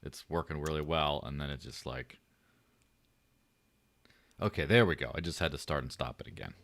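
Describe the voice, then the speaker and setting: A man's voice: extremely low pitch, moderate pace, gravelly, fairly bright tone, no room sound. A man hosting a podcast in casual livestream chat, joking and rambling with a light, buttery voice. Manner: joking, rambling, self-deprecating. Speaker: podcast host.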